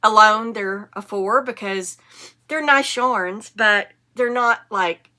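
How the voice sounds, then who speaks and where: A woman's voice: medium pitch, slow pace, sharp tone. Sniffly woman chatting about knitting. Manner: chatty, enthusiastic, rambling. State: sniffly from a cold. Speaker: knitting podcast host. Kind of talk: casual show-and-tell chat.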